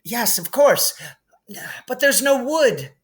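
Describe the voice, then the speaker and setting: A man voicing a clever, anxious, bossy young girl in a storybook, with a shrill, commanding manner. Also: big pitch swings, slow pace.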